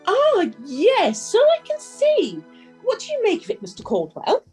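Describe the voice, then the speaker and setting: A woman's voice: very high voice, wildly swooping pitch, measured pace, a little mellow, soft background music, exaggerated voice, low-quality recording. A woman playing a gushing, polished resort manager, eagerly courteous and formal, in an audio drama.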